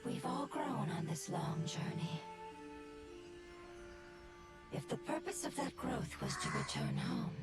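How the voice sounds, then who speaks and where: A woman's voice: medium pitch, wildly swooping pitch, moderate pace. A woman voicing a dignified, weary, ancient nonhuman being in a video game, speaking in a formal, grave manner. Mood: grave, accepting. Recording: loud game music behind.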